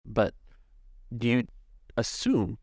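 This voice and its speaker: A man narrating a tabletop roleplaying game as game master, thinking aloud in a halting way. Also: medium pitch, sing-song, a little mellow, no room sound, clean studio sound.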